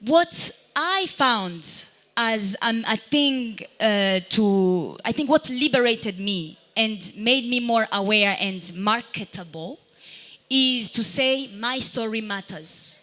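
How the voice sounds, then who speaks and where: Woman speaking with halting reflection. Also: a little high, animated, bright, forceful tone. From Burundi. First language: Kirundi. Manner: halting and reflective, pausing to search for words. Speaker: dancer and panelist. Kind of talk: live panel discussion answering audience questions.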